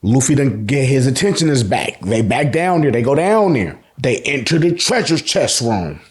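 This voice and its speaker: An American man giving an excited, slangy fan recap, emphatic and repeating phrases for effect, with casual swearing. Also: medium pitch, moderate pace. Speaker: host of an anime and manga review channel.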